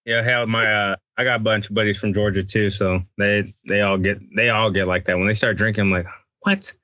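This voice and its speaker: Dude hosting, rambly and chuckling, bright voice, dry close mic. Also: male voice, fairly low pitch, fairly even intonation, brisk, no room sound, dull audio. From Texas. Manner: laughs often, loose rambling talk. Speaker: podcast host. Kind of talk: casual chat.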